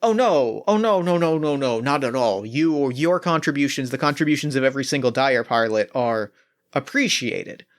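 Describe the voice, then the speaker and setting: A man voicing a calm, coldly logical male android pilot who speaks in a measured, hesitant, matter-of-fact way. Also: medium pitch, lightly acted. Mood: calm, detached.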